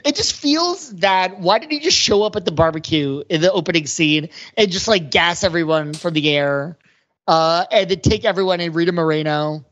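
A man's voice: high voice, expressive, moderate pace, a bit bright. A slightly muffled, lo-fi recording of a man co-hosting a podcast. He talks casually and analytically, working through his ideas aloud as he goes. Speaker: podcast co-host. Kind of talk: casual pop culture discussion. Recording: slightly dull, lo-fi audio.

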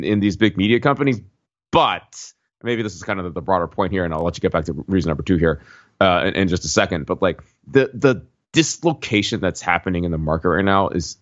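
A man's voice: deep voice, no room sound, dull audio. A man co-hosting a culture podcast, talking in a thoughtful, hedging way with lots of "like" and "right?". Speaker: podcast co-host. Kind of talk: casual conversational commentary.

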